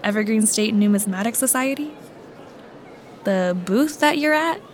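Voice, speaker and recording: An actress playing a friendly, meticulous coin appraiser in an audio drama, speaking in a helpful, precise, conversational way. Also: female voice, a little high, animated, measured pace. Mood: helpful, matter-of-fact. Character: friendly, meticulous woman appraising coins at a show booth.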